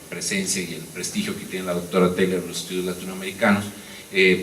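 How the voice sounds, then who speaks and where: A man introduces a conference speaker in a halting way, with many fillers. His voice is very low and sounds distant from the mic. The hall echoes, with faint noise behind him. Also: fairly bright tone. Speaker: conference moderator.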